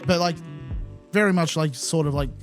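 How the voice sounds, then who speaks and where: A man's voice: fairly high pitch, moderate pace, music in the background. A man co-hosts a podcast, talking casually and with careful hedging. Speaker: podcast co-host. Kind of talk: casual chat.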